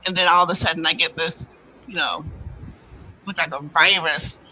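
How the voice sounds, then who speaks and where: A woman guest, a writer and editor, talking in a casual, nervous, soft-spoken way with small laughs. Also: fairly low pitch, fairly even intonation, normal speed, low-quality recording. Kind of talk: casual interview chat.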